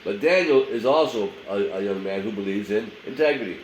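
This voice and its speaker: A man's voice: lowish voice, animated, fairly quick. Male pastor, nasal from a head cold, casual filler-heavy preaching; echoey room, faint hum. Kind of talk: short daily sermon.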